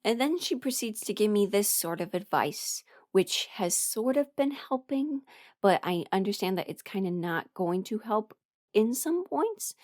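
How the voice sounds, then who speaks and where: A woman shares a personal ramble in an informal, confiding way. She stops and rephrases as she goes, like advice given to friends. Her voice sits at an average pitch, and the sound is dry with no room around it. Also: animated, darkish tone, no room sound. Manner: informal, halting and self-correcting, chuckles while talking, mimics others in dialogue. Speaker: host, voice performer giving a personal ramble.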